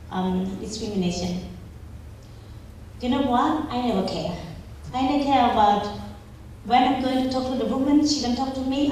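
A woman's voice: on the high side, a little mellow, slight background noise. A woman from Cambodia, whose first language is Pnong, speaking emphatic, simple broken English with a Cambodian accent as a guest answering questions. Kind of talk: interview answers to an audience. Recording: echoey hall, from far off.

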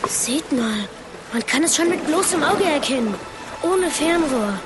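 A woman voicing an excitable water gnome sailor who keeps exclaiming in alarm. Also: high-pitched, unhurried, very noisy. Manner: exclaims holy moly.

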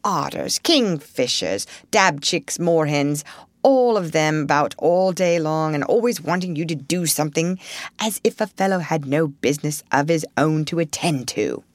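A woman's voice: low-pitched, lively intonation, a little slow, dry recording. A woman voicing a genial, talkative male water rat in a storybook, with an emphatic, opinionated manner. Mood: forbearing, grumbling. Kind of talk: storybook character reading.

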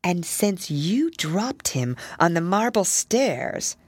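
A woman plays a little old godmother from a fairy tale. She speaks with calm, knowing solemnity and gentle mystery, in a lively melodic line recorded close and dry. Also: on the low side, slow, slightly rough, dry recording, full character voice. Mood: mysterious. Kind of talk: storybook character voice.

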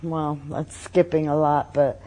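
Slow, mellow older woman from Austria. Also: sits low, level tone. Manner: halting, with many fillers and pauses. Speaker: interviewee. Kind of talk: oral history interview.

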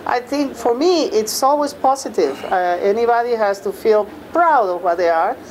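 A woman's voice: fairly high pitch, lively intonation, normal speed, slight background noise, low-quality recording. A middle-aged woman from Peru, speaking thoughtfully and a bit hesitantly as a panelist at a forum. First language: Spanish. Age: middle-aged or older. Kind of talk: forum discussion.

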